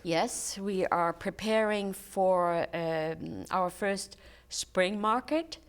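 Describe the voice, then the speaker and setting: A woman's voice: lowish voice, clean tone. An older woman whose first language is German, chatting in an interview with frequent fillers and restarts. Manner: warm, halting, many fillers and restarts. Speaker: guest, charity volunteer. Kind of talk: casual interview chat. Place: local TV studio.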